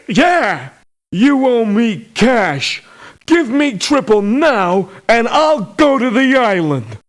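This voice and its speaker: An actress playing a childlike, touchy mercenary in a video game, speaking in simple, halting phrases. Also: female voice, fairly high pitch, heavily acted. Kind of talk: video game character voice lines.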